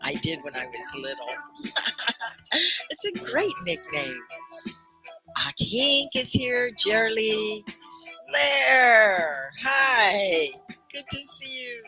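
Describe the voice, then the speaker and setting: A woman's voice: mid-range voice, sing-song, drawn-out words, pauses often, pushed and bright, faint music behind, low-quality recording. An American woman hosting a live English class, cheerfully greeting viewers by name and laughing often. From United States. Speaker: English teacher and livestream host. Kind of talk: livestream class chat. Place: home studio near Arkansas–Oklahoma border.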